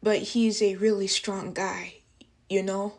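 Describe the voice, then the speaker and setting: A woman playing a traumatized young waitress in a dramatic monologue, speaking haltingly in broken phrases. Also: mid-range voice, level tone, a little mellow. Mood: uneasy, halting. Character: traumatized young working-class waitress recounting an assault. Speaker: actress performing a film monologue.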